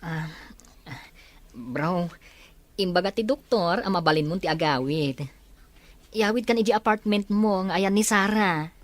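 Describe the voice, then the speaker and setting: A woman's voice: lowish voice, unhurried, pauses often, no room sound, lightly acted. A woman acting a caring, level-headed friend in an audio drama. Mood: coaxing.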